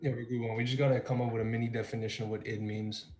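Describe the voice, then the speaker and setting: A man chatting casually with a student breakout group on a video call, slangy and excitable. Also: medium pitch, rapid-fire, soft background music. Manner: slangy, excitable, laughs loudly. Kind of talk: casual chat.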